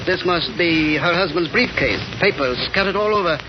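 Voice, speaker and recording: Over a dull, hissy 1930s radio recording comes a man acting a self-important middle-aged newspaper publisher. His voice sits fairly high for a man, and he speaks with measured, pompous courtesy in old radio drama style. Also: close to an everyday voice. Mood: courteous, measured.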